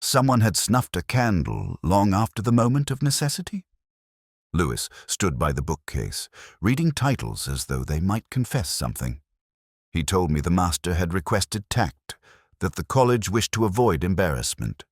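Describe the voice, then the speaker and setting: A man reading first-person mystery narration in a measured, brooding, literary manner. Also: low-pitched, big pitch swings, dry recording, studio quality.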